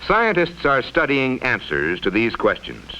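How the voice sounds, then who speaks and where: Casting: male narrator for a mid-century science film, explanatory and formal, animated intonation, muffled lo-fi vintage sound. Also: medium pitch, measured pace, slight background noise.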